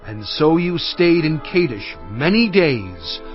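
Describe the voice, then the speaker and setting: A man's voice: a little high, very animated, slow. A man playing an impassioned leader who recounts and admonishes, oratorical and solemn, in dramatized scripture. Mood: reproachful. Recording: dull audio with music underneath.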